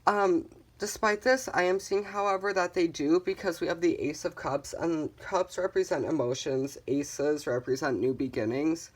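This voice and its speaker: A tarot reader hosting a casual card reading, talking in a calm, reassuring way and guiding viewers, with frequent "um" fillers. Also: medium pitch, normal speed. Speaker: tarot reader, channel host.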